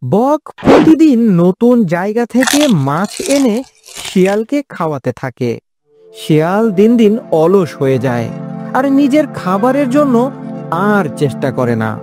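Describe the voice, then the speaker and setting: A woman's voice: medium pitch, big pitch swings, unhurried, over music. A woman narrating a scripted children's story as the storyteller.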